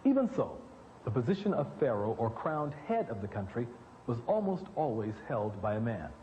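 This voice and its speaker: Animated man presenting history, muffled sound. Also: mid-range voice, moderate pace, a little hiss or hum. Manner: formal, dramatic emphasis. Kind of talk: scripted history narration.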